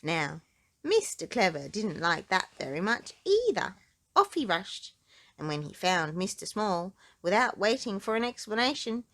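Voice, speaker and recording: Slow, animated woman reading a storybook. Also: mid-range voice. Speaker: children's book reader. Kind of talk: expressive storybook narration.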